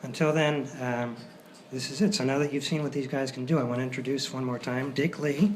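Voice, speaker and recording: A noisy live recording of a man with a mid-pitched voice. He speaks informally and gratefully to an audience as the show's host, feeling his way through his words. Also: steady tone, fairly quick. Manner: hesitant, grateful. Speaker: writer, director and host of the show. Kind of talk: informal thank-you speech to a live audience. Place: hotel performance space, live audience.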